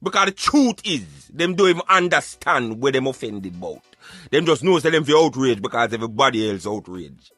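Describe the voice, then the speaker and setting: Bright, forceful Jamaican man, emphatic. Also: medium pitch, sing-song, a little fast, dry recording, faint music behind. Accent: Jamaican Patois. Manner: emphatic, preaching, argumentative. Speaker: radio show host. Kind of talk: opinionated talk show commentary.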